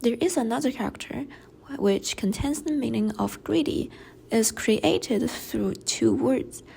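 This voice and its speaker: A young woman whose first language is Chinese, reading a class presentation in a careful, measured way with light breathy passages. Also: on the high side, darkish tone, slight background noise. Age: young adult. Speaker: student presenter. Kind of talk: read class presentation narration.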